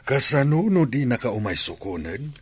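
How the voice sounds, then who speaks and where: Man playing a practical older father, husky, animated pitch swings, dry close sound, Ilocano accent. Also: normal speed, no echo. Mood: reasoning, firm. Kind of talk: Ilocano radio drama acting.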